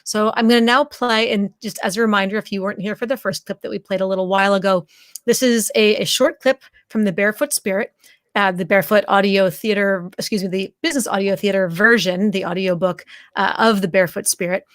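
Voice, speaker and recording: A woman's voice: medium pitch, steady tone, fairly quick, no echo, studio quality. A woman hosting a live stream, talking casually and informally to viewers. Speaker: live show host. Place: indoors, live streaming video call.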